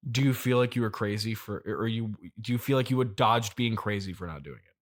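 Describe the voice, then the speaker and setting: A man's voice: on the low side, a little fast. A man interviewing in an improvised comedy, probing and often echoing back what he has just heard. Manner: probing, echoes the guest's answers.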